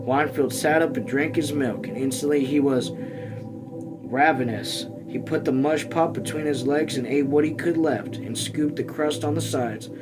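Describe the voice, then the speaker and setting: A man's voice: a little high, normal speed, poor audio. A man reading a novel aloud as an amateur, dropping in casual asides. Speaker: reader. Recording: music playing behind the voice.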